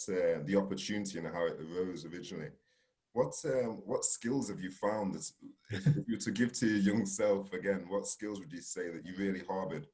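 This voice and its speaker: A man's voice: low voice, darkish tone, low-quality recording. A man hosting a panel discussion who asks questions hesitantly, with many fillers. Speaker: panel host. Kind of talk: interview questions.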